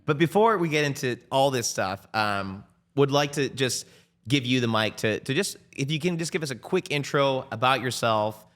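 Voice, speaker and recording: A man's voice: mid-range voice, expressive, normal speed, fairly bright tone. A man hosting a podcast interview, asking questions in an eager, hesitant way and repeating words. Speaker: podcast host.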